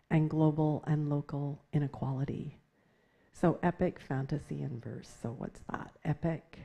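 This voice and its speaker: A woman poet speaking softly and with measured phrasing, introducing her work and reading her poems on a theatre stage. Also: deep voice. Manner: soft-spoken, measured. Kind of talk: poetry reading at a literary festival.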